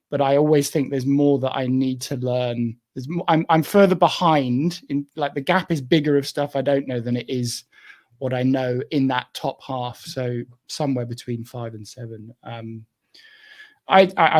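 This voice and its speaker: A man's voice: medium pitch, darkish tone. A man co-hosting a casual podcast chat, candid and self-mocking, rambling with frequent restarts. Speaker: podcast co-host.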